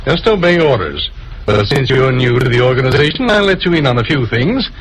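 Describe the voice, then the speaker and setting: A man acts out a smug ringleader of a spy gang in an old radio crime drama. He talks down to people in a suave, theatrical, mid-pitched voice over clear background noise. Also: big pitch swings, fairly quick, lightly acted. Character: smug male villain, patronizing leader of secret spy ring. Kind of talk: 1940s-50s radio crime drama acting. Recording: noisy old radio recording.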